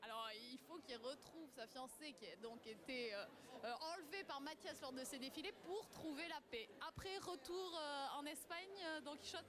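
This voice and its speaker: A woman reporter interviewing on location at an event, chatty and pausing often as she forms her questions. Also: high-pitched. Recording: crowded event, clear crowd noise. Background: crowd at event.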